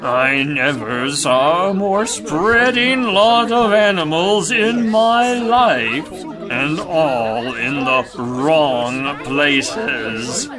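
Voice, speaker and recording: Male actor as a self-important, grumbling donkey poet for children's audio play; dragging delivery, lively melodic swings. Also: fairly high pitch, a crowd in the background. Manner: ponderous, halting, grumbling. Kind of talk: children's audio drama acting.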